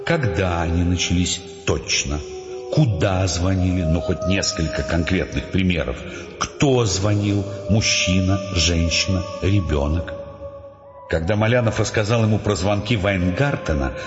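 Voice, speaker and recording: A low male narrator tells a radio-play story with animated, wry expression. His voice sits in a long echoey reverb, with music clearly audible behind it. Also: unhurried, lo-fi sound. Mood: insistent. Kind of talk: dramatic scripted audiobook narration.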